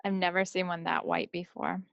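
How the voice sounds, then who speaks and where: Female podcast co-host, lowish and creaky, folksy casual chat, dry close sound. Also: level tone, moderate pace, fairly bright tone, no room sound, lo-fi sound.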